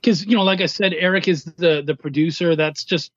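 A man's voice: on the high side, fairly even intonation, a little fast, fairly bright tone, dry recording. A man speaking casually as a writer and editor being interviewed on a podcast, in slightly dull, lo-fi sound.

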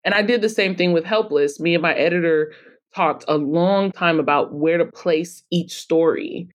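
Casting: woman with a fairly low voice, expressive interviewee in an easy conversation. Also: moderate pace. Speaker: podcast guest, author and publisher.

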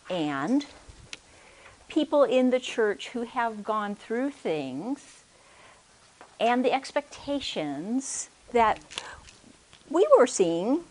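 Quiet-voiced woman talking at a church workshop, halting, restarts a lot, mic in hand. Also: fairly high pitch, slight background noise. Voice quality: soft-spoken. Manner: hesitant, with many restarts and "okay?" check-ins. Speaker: workshop speaker. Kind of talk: conference workshop talk. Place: conference room. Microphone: handheld or stand microphone.

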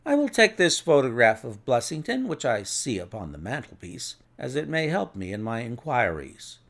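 A man voicing a cool, methodical detective in an audiobook, measured, precise and authoritative. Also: medium pitch, big pitch swings, vocal fry, a bit bright, heavily acted. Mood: assured, explanatory. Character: cool, methodical male detective, assured and analytical.

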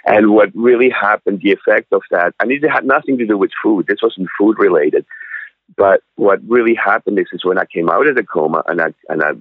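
A man in his sixties from Switzerland, a guest restaurant owner, telling his story in a halting way with many fillers and restarts. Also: fairly low pitch, no room sound. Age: 60s. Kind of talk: casual interview storytelling. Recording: dry, tinny phone line.

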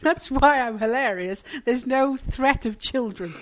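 A woman's voice: high voice, a little slow. A British woman co-hosting a casual comedy podcast, trading dry, sarcastic, self-mocking jokes. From Britain. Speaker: podcast co-host.

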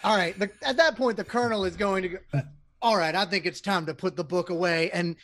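A man's voice: sits high, quick pace, a bit bright. A man playing a character in a tabletop game, thinking aloud and checking the rules as he goes. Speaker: player. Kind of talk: casual tabletop play.

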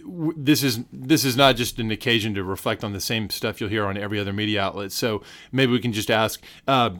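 A man co-hosting a legal podcast, wry and joking, then explaining things in a steady, measured way. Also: on the low side. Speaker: podcast co-host. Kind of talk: casual legal podcast chat.

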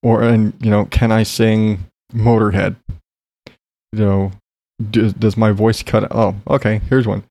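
A man's voice: fairly low pitch, flat delivery, measured pace, vocal fry, mellow, no room sound. A man talking in a casual solo podcast, rambling with lots of fillers and casual swearing, still congested after being sick. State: recovering from illness, congested.

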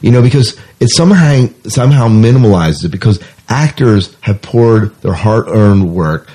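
A man's voice: fairly low pitch, warm tone, breathy edge. A man co-hosting a casual podcast, talking in a blunt, opinionated way and sometimes reading news items aloud. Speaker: podcast co-host.

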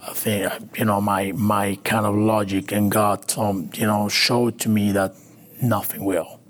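A man from Venezuela, a native Spanish speaker with a Latin American accent, telling his recovery story haltingly in an interview. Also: lowish voice, little pitch movement. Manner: halting, with frequent restarts and fillers. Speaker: interview guest. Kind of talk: personal recovery story, conversational.

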